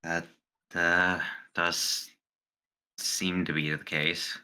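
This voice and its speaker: A man playing a tabletop roleplaying game, speaking in character with hesitant pauses and many fillers. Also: low voice, flat delivery, bright, forceful tone, clear, resonant tone. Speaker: player.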